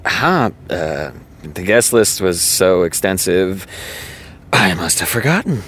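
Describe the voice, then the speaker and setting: A man's voice: low-pitched, expressive, slow pace, fairly bright tone, slightly rough, heavily acted. An actor playing a sarcastic, sleepy young man who hates mornings, in an audio drama. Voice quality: dry, sarcastic.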